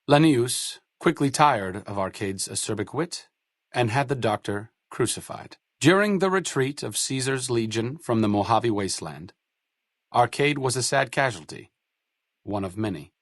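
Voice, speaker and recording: An actor narrating a video game's epilogue in a solemn, measured storytelling manner. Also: male voice, fairly low pitch, dry recording, studio quality. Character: grave male narrator of a game's endings. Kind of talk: scripted game epilogue narration.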